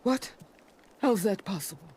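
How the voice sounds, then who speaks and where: A woman's voice: medium pitch, lively intonation, normal speed, darkish tone, breathy edge. A woman voicing a ruthless, vengeful rebel warlord in a video game, menacing and commanding. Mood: disbelieving.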